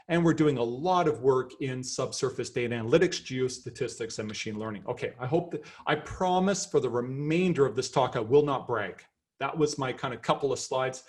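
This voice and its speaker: A middle-aged Canadian man with a Canadian accent giving a lively, chatty seminar lecture as a professor, enthusiastic and self-deprecating. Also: medium pitch.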